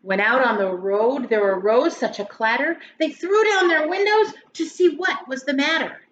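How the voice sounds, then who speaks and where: A woman's voice: high-pitched, moderate pace, a bit bright. A woman reading a children's story aloud like a grade school teacher, with theatrical, emphatic delivery. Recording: roomy echo, dull sound, faint noise.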